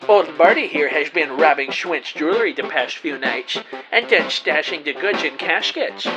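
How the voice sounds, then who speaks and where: An actor playing an earnest, excitable, bumbling amateur detective, narrating his case melodramatically in an audio drama. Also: a little high, moderate pace, over loud music, close to an everyday voice, low-quality recording. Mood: triumphant. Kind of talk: scripted first-person audio drama narration.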